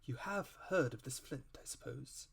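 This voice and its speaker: A man voicing a calm, genial gentleman doctor in a storybook reading, measured and courteous. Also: mid-range voice, gravelly, husky, exaggerated voice. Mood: measured, probing.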